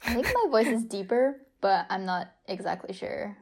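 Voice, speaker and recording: A woman's voice: medium pitch, animated, moderate pace, a little mellow. A teenage girl chatting with friends on a podcast, making brief, wry remarks. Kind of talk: casual chat among friends.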